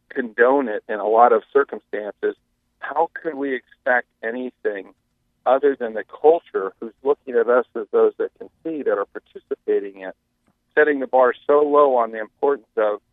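A man phones in to a radio show and makes an earnest, preachy case in long questions. His mid-pitched voice comes through a thin, narrow phone line with no room around it. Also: dry recording. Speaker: caller. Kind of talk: caller on a radio talk show.

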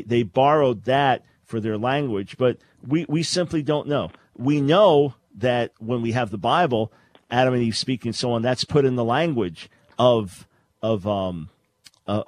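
A man's voice: mid-range voice, normal speed, darkish tone, no echo. A man hosting a call-in radio show, explaining Bible languages in a scholarly way with frequent restarts. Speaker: radio talk show host.

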